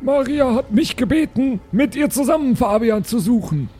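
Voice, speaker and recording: A man plays a strange tabletop-game companion, shrill and very high, with a muffled, dark tone. The oddball talks in indignant, old-fashioned phrases. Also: expressive, moderate pace, faint music behind.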